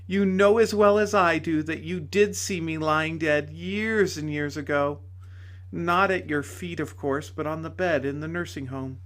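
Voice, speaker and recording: A man voicing a radiant, joyful woman spirit who speaks with gentle teasing. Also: fairly high pitch. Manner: bright, warmly teasing.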